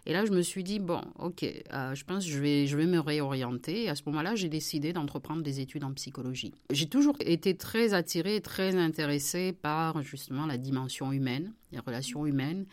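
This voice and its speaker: A middle-aged woman with a notably low voice. She answers interview questions about her life, hesitating often and restarting her sentences. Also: a little fast, few pauses. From southern France. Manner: hesitant, many fillers and restarts, warm laughs. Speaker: member of parliament, interview guest. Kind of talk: relaxed personal interview.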